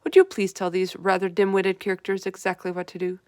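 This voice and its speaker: A woman voicing a calm, commanding older queen in a storybook read-aloud. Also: medium pitch, a little fast, no room sound, clean studio sound, close to an everyday voice. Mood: composed. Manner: composed, firm, polite.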